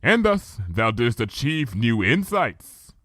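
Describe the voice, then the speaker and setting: A man's voice: mid-range voice, big pitch swings, slow, fairly bright tone, no echo, clean studio sound. A man voicing a regal, archaic-speaking female moon princess pony in a fan fiction reading, with formal thee-and-thou speech. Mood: solemn.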